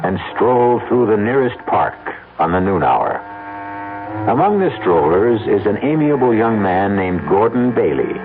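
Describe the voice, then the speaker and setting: A man with an American accent giving wry, measured scripted narration for an old-time radio drama. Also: on the low side. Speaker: narrator and storyteller. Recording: tinny, noisy old radio recording.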